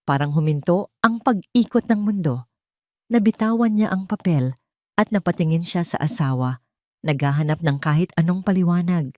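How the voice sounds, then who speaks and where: Woman narrator, low pitch, scripted dramatic storytelling, dry close recording. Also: normal speed, a little mellow, no room sound.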